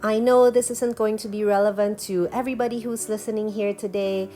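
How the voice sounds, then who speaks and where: A woman's voice: mid-range voice, fairly even intonation, brisk, warm tone, faint music behind. A woman vlogger talking through a one-take voiceover in a chatty, earnest way, sounding a bit nasal from an allergy attack. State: stuffy nose from allergies. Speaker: vlogger and painter. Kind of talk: casual voiceover narration.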